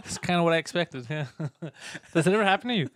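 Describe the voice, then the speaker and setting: A man's voice: fairly high pitch, a bit bright, a little hiss or hum. A young man whose accent mixes Montreal and American English, joking and mocking himself in casual chat as a guest. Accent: mix of Montreal and American English. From Montreal, Canada. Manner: quick, joking, self-mocking. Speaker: comedian guest.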